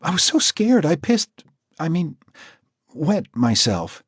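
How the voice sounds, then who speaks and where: A scared teenage runaway girl, put on by a male narrator, her voice pushed high and trembling. She stammers and breaks into sobs, the tone husky and breathy. The sound is dry and close with no room around it. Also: big pitch swings, slow pace, fairly bright tone, no echo, heavily acted. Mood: tearful. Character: frightened teenage runaway girl, tearful and jumpy.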